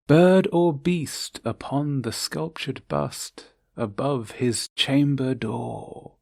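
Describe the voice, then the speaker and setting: A man giving a solemn, theatrical ASMR poetry reading with deliberate phrasing and long pauses. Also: medium pitch, vocal fry, a little mellow, no room sound, studio quality. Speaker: poetry reader.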